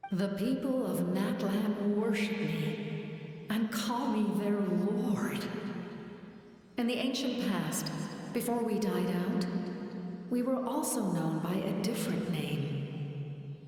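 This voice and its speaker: Solemn, benevolent angelic lord for a game: unhurried formal delivery drenched in reverberant echo. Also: female voice, medium pitch, mellow, soft background music. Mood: serene, revealing. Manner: stately, measured, formal. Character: ancient, solemn angelic spirit, benevolent and regal. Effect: heavy echoing reverb. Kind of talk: dramatic video game voice acting. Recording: heavy echo, long reverb tail.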